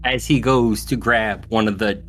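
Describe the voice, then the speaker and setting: A man's voice: lowish voice, steady tone, measured pace, fairly bright tone, faint music behind. A man chatting casually as a player in a tabletop game.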